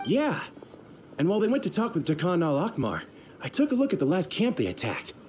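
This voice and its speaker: An actor playing a nosy, excitable male mercenary in a game, eager and pushy. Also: high voice, fairly quick, a little hiss or hum. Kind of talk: video game cutscene dub.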